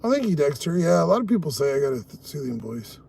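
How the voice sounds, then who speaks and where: A man's voice: fairly high pitch, very animated, quick pace, creaky, darkish tone, slightly rough. A man on a casual live stream, chatting with viewers from his car and swearing often. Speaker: live streamer doing delivery driving. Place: inside a car.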